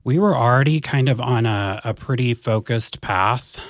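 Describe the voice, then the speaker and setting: A man, talking slowly as a podcast guest, with many ums and restarts. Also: medium pitch, no room sound, clean studio sound. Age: 40s. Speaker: district advanced academics coordinator.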